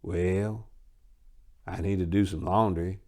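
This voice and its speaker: A man voicing a laid-back deadbeat boyfriend in a dramatic story reading, with a flat, low-key drawl. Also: slow. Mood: unbothered.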